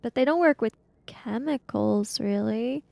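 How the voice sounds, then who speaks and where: A woman's voice: fairly high pitch, unhurried, creaky, darkish tone, no room sound. A woman playing a bookish, argumentative schoolgirl in an improvised tabletop adventure, wordy and pedantic. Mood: doubtful. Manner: pedantic, wordy, indignant when teased.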